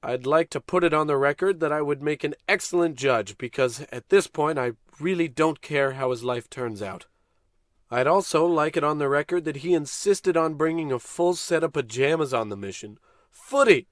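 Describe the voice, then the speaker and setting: A man acts out a self-important American space captain from a comic radio play. He barks orders grandly and drops callous, dry asides in a fairly high male voice, recorded close and dry. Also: moderate pace, no echo, natural voice. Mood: bitter, dismissive. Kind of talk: comic old-time radio play acting.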